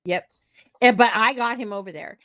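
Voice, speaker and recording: Loud, rambling woman telling a story. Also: a little high, normal speed, no echo, rough recording. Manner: rambling, full of "like" and quoted dialogue. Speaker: podcast co-host. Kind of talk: casual anecdote telling.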